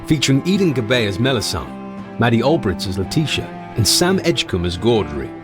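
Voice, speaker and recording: A man's voice: medium pitch, very animated, fairly quick. A man reading a scripted title and credits announcement as an announcer. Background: music.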